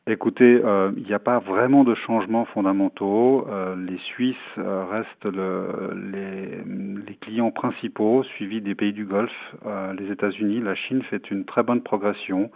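Male interviewee, low voice, measured and halting official answers, thin phone-like radio sound. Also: steady tone, creaky. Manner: measured, halting delivery with many hesitations. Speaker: tourism foundation president, interviewee. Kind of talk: interview answers, formal spokesman talk.

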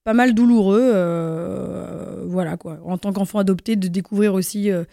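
A woman's voice: medium pitch, fairly even intonation, moderate pace, creaky, warm tone, no room sound, clean studio sound. A young adult woman from Guatemala, a singer and actress, answering a radio interviewer in a casual, hesitant way with many fillers and restarts.

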